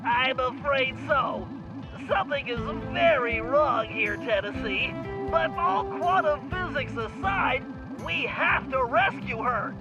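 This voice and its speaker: A man with an American accent voicing a brainy, earnest gang planner in an animated game, precise and slightly pompous. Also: extremely high pitch, slow pace, lo-fi sound, over music, full character voice. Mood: alarmed, urgent. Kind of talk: animated video game acting.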